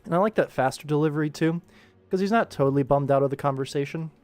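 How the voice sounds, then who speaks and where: A man's voice: fairly high pitch, fast talker, darkish tone, faint music behind. Chatty, self-deprecating man on a livestream. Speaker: animation creator and livestream host. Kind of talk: casual livestream work commentary.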